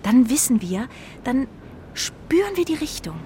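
A woman playing a homesick, loyal carrier pigeon in a children's radio play, speaking in a dreamy, hesitant, breathy way. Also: high-pitched, sing-song, unhurried, warm tone, slight background noise. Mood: longing, excited.

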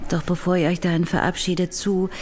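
An actress playing a strict but caring schoolteacher in a children's radio play, addressing pupils in a brisk, commanding way. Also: female voice, lowish voice, flat delivery, a little mellow, a little hiss or hum, close to an everyday voice. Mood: patient, firm.

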